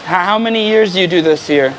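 A man's voice: high voice, fast talker, fairly bright tone. Chatty American man on a noisy street. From United States. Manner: chatty, friendly, explains local words to viewers. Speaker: travel vlogger. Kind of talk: casual walking street food vlog. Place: busy city street with traffic. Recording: street noise and traffic behind.

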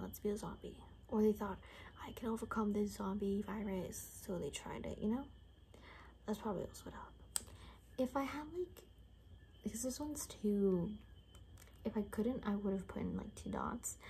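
Young woman for improvised ASMR roleplay: soft, whispery, relaxed tone, rambling repetitive delivery, slightly noisy bedroom recording. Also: medium pitch, vocal fry. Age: young adult. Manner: hushed, unhurried, rambling, repeating words. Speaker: ASMR creator playing a caretaker. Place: small bedroom, indoors.

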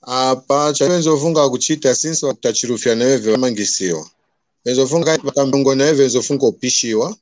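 A man's voice: mid-range voice, no echo. Man with a Malawian accent calling in. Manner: long slow rant in a local language. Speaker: caller. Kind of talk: phone-in complaint.

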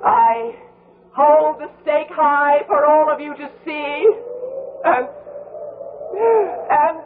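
A tinny-sounding, slow, anguished daughter. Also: female voice, high-pitched, steady tone. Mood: pleading, resolute. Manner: solemn, halting, theatrical declamation. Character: anguished grown daughter staking her vampire-suspected mother, solemn. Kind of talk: 1970s radio drama acting. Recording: tinny, noisy old radio broadcast.

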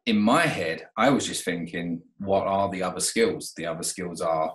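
A man's voice: low-pitched, normal speed, a bit bright, reverberant. A man in his thirties, a guest on an interview, talking casually about his renovation company with many fillers and restarts, speaking over a video call from his home. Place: home room, indoors.

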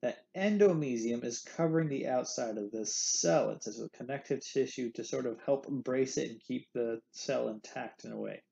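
A man with a gravelly, mellow voice talks through lecture slides in a playful, jokey way, full of candy analogies. The sound is a bit dull and lo-fi, like a computer mic. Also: medium pitch, moderate pace, few pauses. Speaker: anatomy instructor. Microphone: screen recording with webcam.

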